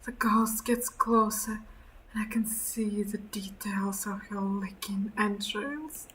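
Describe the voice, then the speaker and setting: A woman streaming a visual novel, reading the narration aloud and reacting in an expressive, sweary way. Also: medium pitch, takes it slowly, faint music behind, poor audio.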